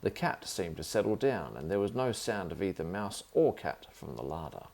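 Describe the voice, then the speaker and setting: A man's voice: low-pitched, big pitch swings, normal speed, vocal fry, darkish tone. A man reading a children's story aloud as the narrator.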